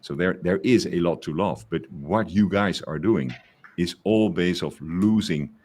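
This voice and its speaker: A man with a very low voice co-hosts a podcast in a relaxed conversational style. He hesitates often and restarts his sentences as he looks for the right words. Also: a little slow. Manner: hesitant, many fillers and restarts. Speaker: podcast co-host. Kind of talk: casual conversation.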